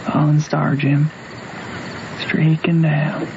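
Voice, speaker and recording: A man's voice: fairly high pitch, completely flat delivery, takes it slowly, creaky. A man playing a curious, thoughtful boy with a Southern accent, chatting in folksy style in a radio drama. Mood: musing. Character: Huck, curious musing Southern boy on a raft. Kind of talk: old-time radio drama acting. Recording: muffled noisy old radio recording.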